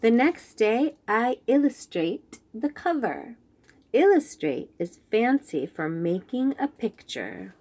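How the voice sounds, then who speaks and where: A young woman reading a children's picture book aloud, slowly and clearly explaining words. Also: fairly high pitch. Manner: slow, clear and expressive, explaining fancy words.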